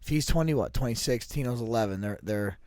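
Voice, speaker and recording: A man about to turn forty rambles through casual podcast chat, swearing freely and restarting his sentences. His voice sits at an ordinary pitch. The sound is dry and close. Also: quick pace, darkish tone, no room sound. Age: 30s. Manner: profane, rambling, restarts often. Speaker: podcast co-host, fighter.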